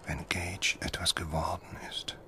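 An actor playing a haunted, grieving middle-aged father in an audio drama, halting and often hushed. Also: deep voice, little pitch movement, whispered, heavily acted. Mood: bitter, sorrowful whisper. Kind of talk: audio drama acting, alternating intimate inner monologue and dialogue. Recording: noticeable background noise and ambience.

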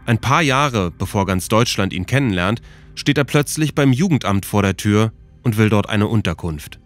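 A man's voice: lowish voice, expressive, normal speed, faint music behind. A man reading scripted narration as the host of a documentary podcast.

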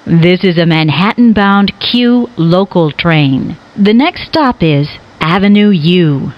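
A woman giving recorded train announcements in a measured, formal way. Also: lowish voice, animated. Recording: dull, noisy recorded transit announcement.

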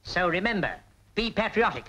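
A bossy, patriotic British busybody in a 1940s film. She hectors briskly and emphatically, her pitch swinging about freely. The old soundtrack is slightly noisy. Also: animated, bright, forceful tone. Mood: cajoling, patriotic. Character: brisk bossy patriotic woman salvage campaigner, scolding nicely.

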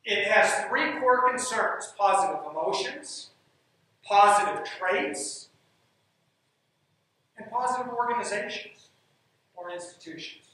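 A man's voice: high voice, expressive, takes it slowly, pauses often, sharp tone. A man giving an emphatic stage talk on leadership and coaching, often repeating his key phrases. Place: dark stage in a large hall. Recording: echoey hall, heard from a distance.

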